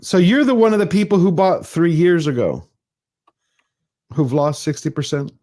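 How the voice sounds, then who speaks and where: A man's voice: fairly high pitch, expressive, moderate pace, warm tone. A man chatting casually as a panelist on a stream, teasing and asking questions. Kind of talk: casual chat.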